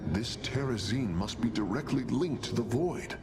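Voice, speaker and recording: A man portrays a scholarly alien engineer from a game. The mid-pitched voice moves animatedly through precise, pondering lines, with very loud ambient noise around it. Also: animated, normal speed, close to an everyday voice. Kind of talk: video game cinematic voice acting.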